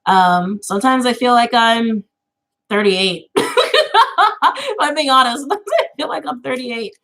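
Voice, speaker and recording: A woman's voice: fairly high pitch, expressive, normal speed, fairly bright tone. A woman in her twenties chatting casually with viewers on a livestream, full of fillers and easy laughter. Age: 20s. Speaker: tarot channel host.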